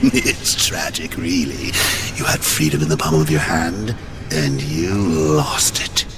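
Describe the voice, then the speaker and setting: A man's voice: rumbling low, slow, a loud crowd. An actor voicing a mocking, sadistic male demon jailer in a video game cutscene, taunting and cackling, breaking into shrieking rage. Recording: game cutscene with loud score.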